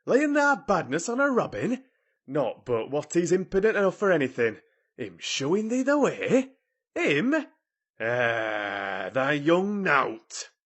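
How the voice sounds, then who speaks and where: A man voicing an indignant old Yorkshire gardener who harangues loudly in dialect, for a storybook reading. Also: on the high side, big pitch swings, takes it slowly, pitched above the performer's own voice, exaggerated voice. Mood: outraged, incredulous. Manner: haranguing, loud and outraged.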